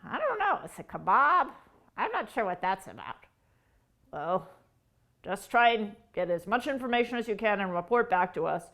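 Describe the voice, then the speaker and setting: Lady putting on a controlling crow boss, scheming and bossy, lowish voice. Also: sing-song, moderate pace, fairly bright tone. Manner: scheming, commanding, conspiratorial.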